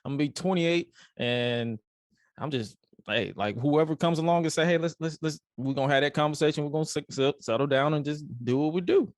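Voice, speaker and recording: A man's voice: a little high, fairly quick, no room sound, clean studio sound. A man in his twenties hosting a podcast, talking candidly in a laid-back way and acting out people he quotes. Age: 20s. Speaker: podcast host. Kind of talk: casual podcast chat.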